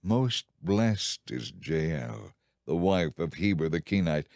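A man reading as an audiobook narrator in a dramatized, solemn and measured scripted Bible narration. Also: extremely low pitch, sing-song, a little mellow, no room sound, clean studio sound.

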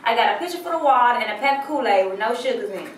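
A woman's voice: a little high, lively intonation, rapid-fire, close to an everyday voice. Woman acting a sassy flirt, echoey room. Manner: sassy, teasing. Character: flirtatious, meddling, sassy female family friend. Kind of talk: amateur stage drama dialogue. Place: small living room, far camera. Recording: echoey room, distant mic, hiss.

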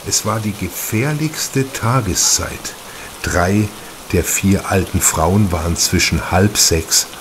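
A man's voice: low voice, background noise. A man reading a crime story as a scripted audiobook narration.

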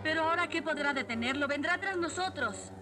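A woman's voice: sits high, expressive, fairly quick. A woman playing an earnest young warrior and devoted daughter in a cartoon dub, with a Latin American Spanish accent. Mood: worried. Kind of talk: dubbed cartoon heroine acting. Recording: music behind the dialogue.

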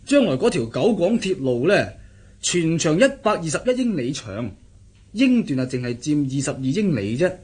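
Radio drama interpreter: chatty, self-assured male insider, animated delivery with a husky edge. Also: fairly high pitch, moderate pace. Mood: confiding, pleased. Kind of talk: Cantonese radio drama acting.

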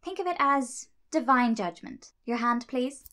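A woman's voice: a little high, animated, unhurried, bright, forceful tone. A woman playing a helpful, earnest angel of good in a radio drama, explaining things matter-of-factly. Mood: earnest, explanatory.

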